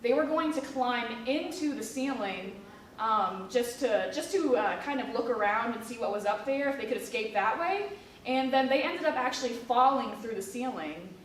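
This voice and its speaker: A woman's voice: a little high, animated, normal speed, a little hiss or hum. Young woman, casual interview talk, lots of fillers, roomy, distant mic. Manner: chatty, many fillers. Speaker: museum education specialist. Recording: echoey room from a distance.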